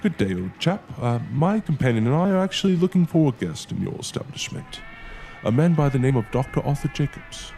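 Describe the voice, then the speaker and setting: Man playing a stuffy, animated professor. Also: medium pitch, brisk, a little hiss or hum. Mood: polite, formal. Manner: pompous, fussy, old-fashioned formality. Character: stuffy, skeptical male English professor and adventurer. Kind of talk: period radio-play acting.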